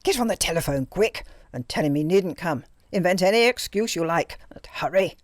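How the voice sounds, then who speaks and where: A woman's voice: on the low side, lively intonation, moderate pace, no echo, clean studio sound, natural voice. A woman voicing a menacing, furious woman who hisses her words. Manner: hissing.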